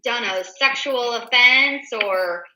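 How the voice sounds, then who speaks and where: Female host, high and echoey, casual newsletter read, emphatic and direct to listeners. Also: takes it slowly, sharp tone. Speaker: show host. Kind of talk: casual co-hosted talk, reading a newsletter aloud. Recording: roomy, echoey indoor sound.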